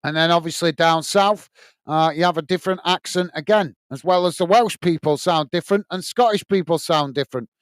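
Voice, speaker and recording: A man's voice: fairly high pitch, brisk, clean tone, no room sound. A man with a strong Lancashire accent hosting a live football watch-along, calling the play and reading out viewer comments in a chatty way with lots of "uh"s. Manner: chatty, reads out chat messages with filler "uh".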